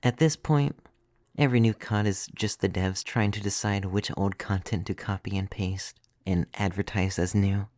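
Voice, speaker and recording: Man playing a low, soft femboy vampire, ASMR. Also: fairly even intonation, moderate pace, dry recording, studio quality, natural voice. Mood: wry, complaining. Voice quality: soft, breathy, often dropping to a whisper. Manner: intimate, teasing, reassuring. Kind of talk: ASMR roleplay. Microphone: close microphone, dry.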